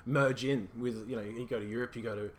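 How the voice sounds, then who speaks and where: A man's voice: medium pitch, steady tone, fast, a little hiss or hum. A young man from Australia, a guest chatting casually on a podcast, rambling and laughing often as he talks.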